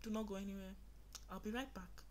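A woman's voice: mid-range voice, fairly even intonation, fast, darkish tone. A young woman with a Nigerian accent, chatting earnestly to viewers in a casual vlog from her bedroom. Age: young adult. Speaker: vlogger. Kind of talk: casual get-to-know-me vlog. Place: small bedroom indoors.

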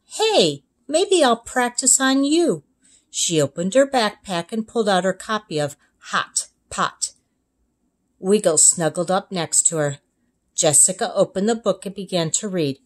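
A woman narrating a children's storybook as a kindergarten teacher, expressive, in clearly separated phrases. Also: fairly low pitch, normal speed. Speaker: kindergarten teacher reading aloud. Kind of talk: storybook read-aloud narration.

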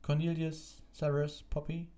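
A man voicing a calm, authoritative old male headmaster in a storybook, composed, courteous and firm. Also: fairly high pitch, moderate pace, pitched above the performer's own voice, lightly acted.

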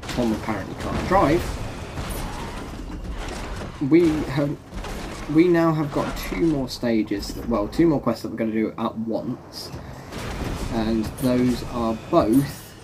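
A young man giving casual commentary over a game, chatting to viewers. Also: medium pitch. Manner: chatty, addresses viewers as folks. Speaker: gaming YouTuber. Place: home room, facecam. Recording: background noise.

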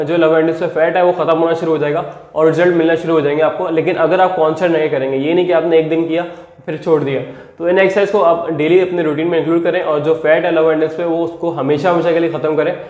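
A man's voice: a little high, darkish tone. A young man, a fitness trainer talking earnestly on a lapel mic and repeating his points for emphasis. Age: young adult. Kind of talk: home workout vlog. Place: small bare room indoors. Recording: echoey bare room.

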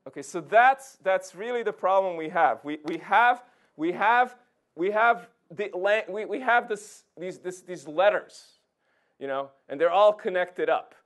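A man's voice: sits high, a little slow, fairly bright tone. A middle-aged man from Serbia gives an informal science lecture, conversationally checking in with his listeners as he explains. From Serbia, former Yugoslavia. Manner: conversational, checks in with "okay" and "right". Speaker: physics professor giving a public lecture. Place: indoor lecture room, talking beside a projection screen.

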